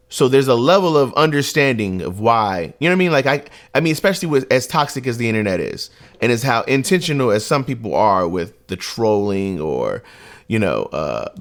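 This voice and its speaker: Relaxed casual man. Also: mid-range voice, normal speed. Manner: loose, conversational, frequent "you know what I mean". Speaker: podcast co-host. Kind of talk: casual podcast discussion.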